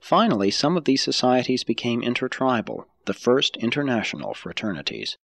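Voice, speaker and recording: A man narrating an audiobook from a script in a measured, formal way, with deliberate emphasis. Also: mid-range voice, very animated, creaky, fairly bright tone, no echo.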